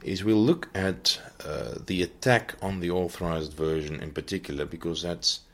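A man with a very low voice hosts a Bible teaching podcast. He talks off the cuff in an earnest way, often pausing to find the next word. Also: a bit bright. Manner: earnest, searching for words as he goes. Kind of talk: unscripted teaching talk.

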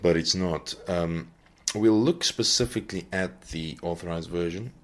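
Deep low guy talking through bible stuff on a podcast, earnest, stops to hunt for words a lot. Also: normal speed. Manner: earnest, searching for words as he goes. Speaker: podcast host and Bible teacher. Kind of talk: unscripted teaching talk.

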